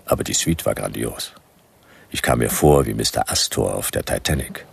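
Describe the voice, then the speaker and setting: A man's voice: extremely low pitch, normal speed, creaky, slightly rough, exaggerated voice. An actor playing a laconic, sardonic private detective who narrates his case in dry, clipped phrases for a radio play. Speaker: narrator and lead character. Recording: music behind the voice. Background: music.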